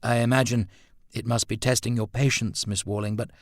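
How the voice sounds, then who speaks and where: A man doing courteous reserved gentleman. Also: medium pitch, little pitch movement, brisk, no room sound, clean studio sound, pitched above the performer's own voice, close to an everyday voice. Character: reserved polite young gentleman.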